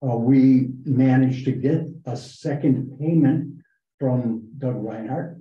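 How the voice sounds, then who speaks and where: A man reporting to a town board meeting, talking in a halting way with many fillers and restarts. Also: medium pitch. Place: town hall meeting room. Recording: echoey, muffled meeting room recording.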